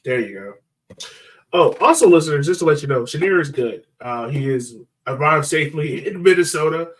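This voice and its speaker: Animated, higher-pitched man hosting a podcast. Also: normal speed. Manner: chatty, informal. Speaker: sports podcast host. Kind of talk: casual sports podcast chat.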